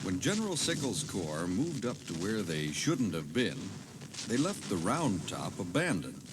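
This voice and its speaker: A man's voice: deep voice, big pitch swings, measured pace. A man explaining a battle as a history tour commentator, in a conversational, dramatized style. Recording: noisy old cassette tape.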